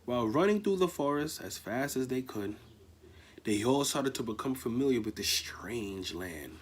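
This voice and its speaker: Husky-voiced man reading a story. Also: mid-range voice, normal speed. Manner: animated, sing-song storytelling. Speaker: children's author. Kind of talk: reading a rhyming children's story.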